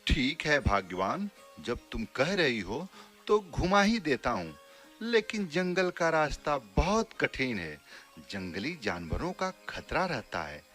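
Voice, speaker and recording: A caring village father from a children's cartoon, played by a man, speaking slowly with wide pitch swings. He is earnest and cautious, with music softly underneath. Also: very animated, full character voice. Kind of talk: children's cartoon story acting.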